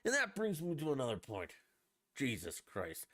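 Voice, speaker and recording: A man's voice: medium pitch, wildly swooping pitch, normal speed, fairly bright tone, no room sound, clean studio sound. A man hosting a podcast, talking alone in a blunt, profane, emphatic rant. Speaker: podcast host. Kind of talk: opinionated solo podcast rant.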